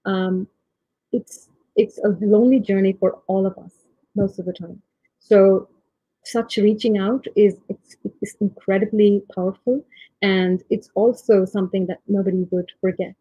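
Soft-spoken woman on a tinny video call. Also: medium pitch, fairly even intonation, measured pace, dry recording. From India. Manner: soft-spoken, frequent ums and restarts. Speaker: tech leader giving a conference talk. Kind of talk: reflective leadership talk. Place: home room, remote talk. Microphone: laptop or webcam mic, video call. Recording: tinny, husky dry video-call audio.

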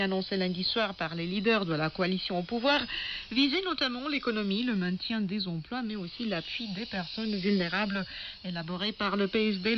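A woman reads a scripted radio news bulletin, received over shortwave. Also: mid-range voice. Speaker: radio newsreader. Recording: dull, noisy shortwave radio broadcast.

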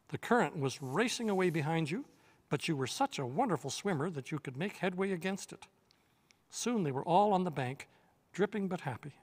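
An older man reads a storybook aloud in a measured, expressive way. His voice sits a little high for a man. It carries a long reverberant tail. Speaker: reader. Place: studio set with armchair.

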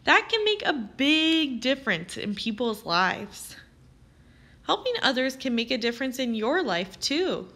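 A woman reading aloud as a teacher, with expressive, carefully phrased delivery aimed at young children. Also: sits high, a little slow. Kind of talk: children's read-aloud narration.

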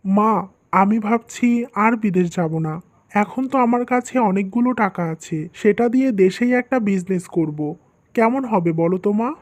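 A woman's voice: mid-range voice, unhurried, darkish tone, natural voice. A woman voicing a stern, easily hurt young husband and son in a cartoon drama. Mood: calm. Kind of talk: dubbed cartoon drama dialogue.

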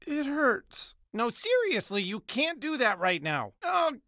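High-strung, high-pitched cartoon dad. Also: male voice, sing-song, slow pace, bright, forceful tone, dry recording, clean studio sound, exaggerated voice. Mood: pained. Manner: jittery, whiny, exclamatory. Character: paranoid, foul-mouthed, bumbling cartoon dad, adult man. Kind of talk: animated comedy game voice acting.

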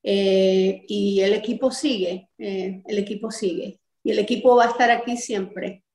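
A woman's voice: mid-range voice, fairly even intonation, a little slow, a little mellow. A middle-aged Cuban American woman from Miami answering interview questions conversationally over a video call in an echoey room. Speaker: interview guest, artist and activist. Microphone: video call, sitting back from the camera.

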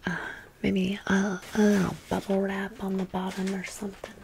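A woman's voice: medium pitch, monotone, normal speed, gravelly, background noise. A young adult American woman whispering a casual ASMR storytime close to the microphone, rambling with sassy, emphatic asides. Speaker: ASMR video host.

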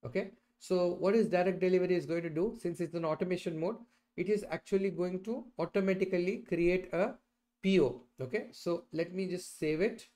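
A middle-aged man with a slightly high voice teaches a software tutorial. He explains step by step and often checks that the listener follows with short tag questions. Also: fairly quick. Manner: checks in often with "right?" and "okay". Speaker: instructor presenting a software tutorial. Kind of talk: explanatory screen-share tutorial. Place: indoors, at a computer.

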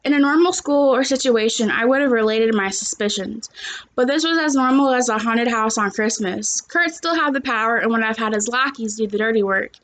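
A woman's voice: on the high side, brisk, fairly bright tone, low-quality recording. A young adult woman, a teacher, reading a story aloud and chatting to children, recorded at home on a computer's microphone. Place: small living room at home, indoors.

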